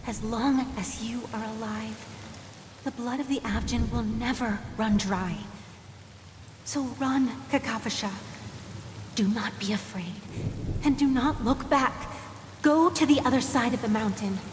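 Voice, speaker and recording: Woman doing young devoted big sister, solemn and tender, kinda high voice, echoey with rain and thunder behind. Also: measured pace, slight background noise, close to an everyday voice. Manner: solemn, tender farewell blessing.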